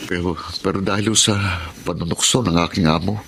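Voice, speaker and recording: An actor playing an earnest, timid, faithful working husband in a Filipino radio drama, respectful and hesitant in speech. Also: male voice, low voice, fairly even intonation, brisk, creaky, a bit bright, a little hiss or hum. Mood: troubled, earnest.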